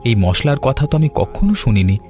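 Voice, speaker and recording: A man voicing a friendly young food seller, earnest, polite and chatty, in an animated story. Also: medium pitch, a little fast, faint music behind, close to an everyday voice. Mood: eager, friendly. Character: eager young fish-fry seller, friendly village man.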